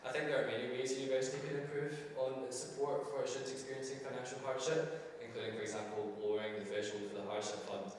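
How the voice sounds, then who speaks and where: A man's voice: medium pitch, fairly even intonation, fast, few pauses, slight background noise. A young man, a student election candidate, giving an earnest, policy-focused campaign speech at a hustings. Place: university hall. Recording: echoey hall, mic from far off.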